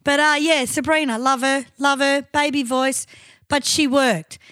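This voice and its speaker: An Australian woman co-hosting a podcast, chatting crudely with lots of swearing, in a high, trained singer's voice. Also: fairly even intonation, a little slow, a bit bright, no echo, clean studio sound. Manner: crude, swearing, outspoken banter. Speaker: podcast co-host. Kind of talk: casual chat.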